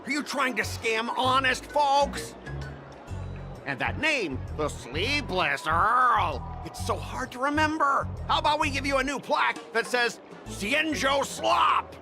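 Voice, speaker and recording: A man's voice: very high-pitched, sing-song, slow pace, gravelly, exaggerated voice. A man voicing a rude, self-important complaining customer in an anime-style game, whiny and sarcastic, with music behind. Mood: angry, mocking.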